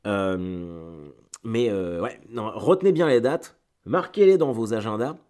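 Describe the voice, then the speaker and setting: A middle-aged man speaks in a fairly low voice, a little stuffed up a cold. He chats informally like a solo podcaster, wandering into asides, repeating words and searching for phrasing. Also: unhurried, darkish tone. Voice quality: slightly congested, stuffy nose from a cold. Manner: informal, digressive, hesitant with repeated words, slangy. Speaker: stand-up comedian hosting a solo weekly show. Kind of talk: casual solo podcast chat to listeners.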